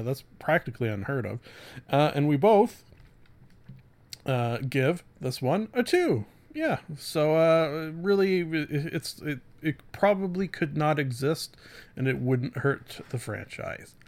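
A man's voice: on the high side, a little mellow. A man hosting a casual solo podcast, rambling through reviews with self-deprecating asides. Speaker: podcast host.

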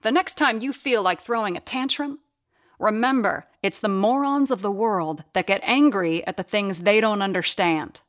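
A woman's voice: medium pitch, moderate pace, dry recording, close to an everyday voice. A woman playing a wry, seasoned, no-nonsense radio sound-effects worker in an audio drama, talking in conversational asides. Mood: stern. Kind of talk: 1930s-set audio drama narration and dialogue.

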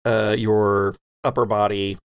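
A man's voice: lowish voice, level tone, slow pace, clean tone, dry recording, studio quality. A man running a tabletop role-playing game as game master, improvising descriptions and explaining rules in a conversational way.

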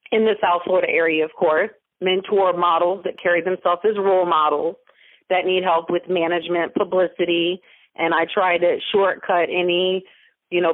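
A woman's voice: on the low side, no room sound. A woman guest who mentors others and runs businesses, talking emphatically and piling up repeated motivational phrases. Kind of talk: interview over a phone line. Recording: dry, tinny phone-line audio.

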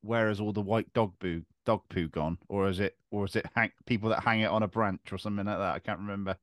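A middle-aged man with a fairly low voice, recorded dry and close. He hosts a podcast in an easy conversational style, as casual chat between co-hosts. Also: fairly even intonation, quick pace, dry recording. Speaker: podcast host.

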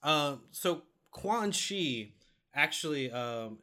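Bright-voiced man chatting about movies. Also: a little high, animated, drawn-out words. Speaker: podcast co-host reviewing a film. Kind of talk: casual podcast review chat.

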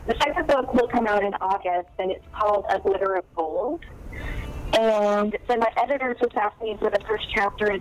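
A woman's voice: fairly high pitch, low-quality recording. A woman novelist chatting in an interview, talking loosely with many fillers and restarts. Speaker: novelist guest. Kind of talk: casual interview chat.

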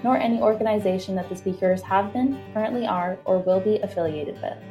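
A woman reading a formal disclaimer in a measured voice. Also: mid-range voice. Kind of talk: read disclaimer. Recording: distant voice over guitar.

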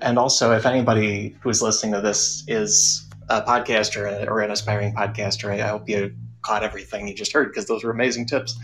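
A man's voice: on the low side, a bit bright, rough recording. A man speaking casually and earnestly as a podcaster in a panel discussion.

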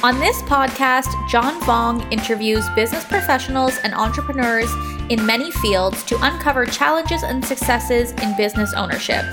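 A woman with a fairly high voice reads a scripted podcast opening in a smooth, even way. Loud music plays under her the whole time. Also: moderate pace. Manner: polished, even read. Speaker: show announcer.

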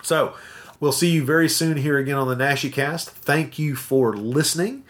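A man's voice: fairly high pitch, normal speed. A man co-hosting a podcast, chatting casually in a rambling, jokey, self-deprecating way. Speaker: podcast co-host. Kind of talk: casual podcast sign-off chat.

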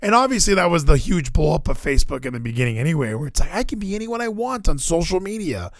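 Animated, higher-voiced American man chatting sports. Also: fast, creaky, no echo, clean studio sound. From Hawaii, United States. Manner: rambling, animated, profane, opinionated. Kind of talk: casual sports chat.

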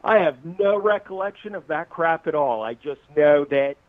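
A man's voice: a little high, expressive, normal speed, dry recording. Brief: forties man from Southern California, musician guest, loose and joking, phone-thin and dry. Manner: rambling and jokey, laughs often. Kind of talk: casual podcast interview. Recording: tinny, dry phone-like line.

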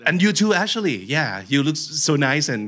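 Friendly guy hosting an interview, bright voice, lots of uh-huhs, animated. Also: fairly high pitch, quick pace. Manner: friendly, encouraging, many backchannels. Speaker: interview host. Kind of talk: casual interview chat.

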